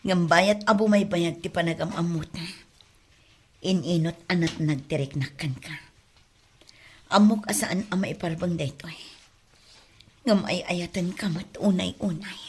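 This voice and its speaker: A woman with an Ilocano accent playing a weary, scolding middle-aged mother in an audio drama, with a husky voice. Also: lowish voice, a little slow, long pauses. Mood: earnest, troubled.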